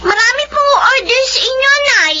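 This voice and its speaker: A performer playing an excited schoolgirl daughter in a radio drama. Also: very high voice, moderate pace, highs cut off, exaggerated voice. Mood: excited, eager. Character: excited schoolgirl daughter bringing good news. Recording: band-limited radio drama, faint noise.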